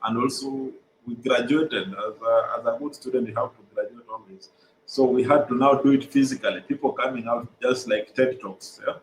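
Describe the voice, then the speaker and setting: A young Kenyan man whose first language is Swahili, earnestly explaining his organization's programs over a video call, with frequent "uh" pauses. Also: medium pitch, steady tone, echoey room, slight background noise, poor audio. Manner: earnest, many "uh" pauses, repeats phrases. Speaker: guest, mental health organization co-founder.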